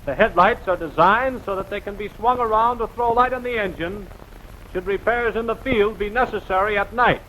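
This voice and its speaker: A man's voice: high-pitched, normal speed, bright, forceful tone, a little hiss or hum, rough recording. An American man narrating a scripted 1940s newsreel in a formal, emphatic, rousing announcer's delivery, on a vintage film soundtrack.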